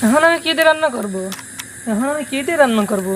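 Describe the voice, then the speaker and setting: A man's voice: extremely high pitch, animated, fast, noisy background, pitched above the performer's own voice. A man voicing an eerie ghost cook who mutters in a whining, repetitive way in a horror story. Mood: fretful, eerie. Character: eerie ghostly cook luring a victim at cremation ground.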